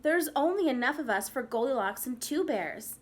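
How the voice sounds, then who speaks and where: A woman's voice: sits high, animated, normal speed. A woman voicing a little boy dinosaur who asks puzzled questions.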